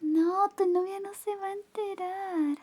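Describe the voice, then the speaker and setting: Woman acting out high, whispery, flirty best friend. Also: fairly even intonation, measured pace. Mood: coaxing, teasing. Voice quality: soft, breathy whispering mixed with soft voice. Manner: coaxing, intimate, lingering. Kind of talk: Spanish ASMR roleplay, whispered personal attention.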